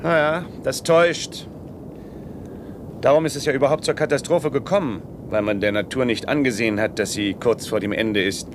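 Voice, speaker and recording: An actor playing a weary, matter-of-fact middle-aged official in a 1970s German science-fiction radio play, laconic and dryly resigned. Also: male voice, medium pitch, fairly even intonation, moderate pace, natural voice. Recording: noisy 1970s radio drama recording, hum.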